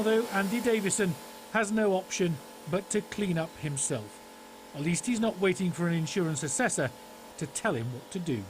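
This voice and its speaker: A man's voice: sits high, a little mellow, a little hiss or hum. A man with a British accent narrating a scripted television news report.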